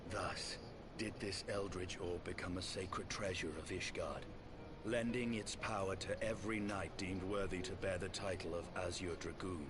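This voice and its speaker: An actor playing a grave, noble knight in a fantasy video game, speaking in formal, archaic phrasing. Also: male voice, sits low, fairly even intonation, normal speed, natural voice. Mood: solemn storytelling. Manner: solemn, formal, archaic diction. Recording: voice under loud orchestral game music.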